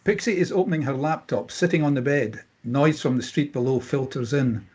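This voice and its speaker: A man with a husky voice reading scripted stage directions as narrator at a table read. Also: medium pitch, fairly quick, darkish tone.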